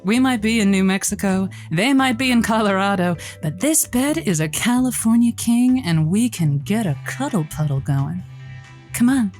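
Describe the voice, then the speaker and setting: A woman's voice: mid-range voice, a little mellow, close to an everyday voice. An actress playing a flirty, motherly late-night lonely-hearts radio host in an audio drama, teasing and theatrical. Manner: teasing, theatrical, folksy endearments.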